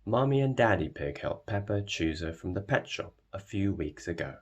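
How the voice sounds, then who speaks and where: A man reading a children's storybook aloud in an expressive way. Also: deep voice, normal speed. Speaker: storybook reader. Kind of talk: scripted children's storybook narration.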